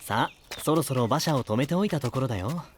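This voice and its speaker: A man playing a calm, gently serious young knight in an anime-style voice drama, measured and sincere. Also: mid-range voice, a little hiss or hum, close to an everyday voice. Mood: reassuring.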